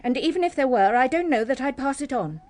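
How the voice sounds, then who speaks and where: An actress playing a guarded, grieving widow in a radio drama. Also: female voice, sits high, lively intonation, fast, darkish tone. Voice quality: guarded, clipped. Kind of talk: BBC radio drama acting.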